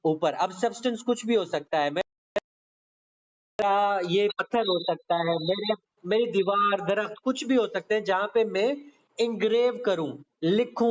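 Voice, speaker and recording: A man's voice: high voice, low-quality recording. A man lecturing online on law, explaining step by step didactically and mixing English terms into his speech. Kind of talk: online exam-prep lecture, explaining and reading out clauses. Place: online meeting class.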